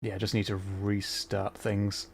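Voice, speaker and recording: A man's voice: on the low side, monotone, unhurried, vocal fry, slight background noise. A man giving casual live game commentary and chatting to viewers as a streamer. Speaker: livestreamer playing a video game.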